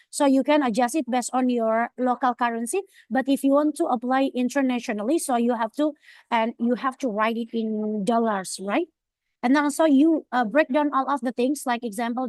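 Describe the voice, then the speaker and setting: A woman's voice: a little high, no room sound. Hesitant Indonesian woman presenting online. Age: young adult. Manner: hesitant, many fillers and restarts.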